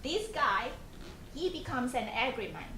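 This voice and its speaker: A fairly high-voiced woman with a Malaysian accent talks to a group of students in a conversational way, putting questions to them and often restarting her sentences. The room is echoey, with a faint hum of noise behind her. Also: very animated, normal speed, sharp tone. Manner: conversational, asks the audience questions, frequent restarts and fillers. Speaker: programme coordinator giving a talk to students. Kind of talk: informal presentation to a student group.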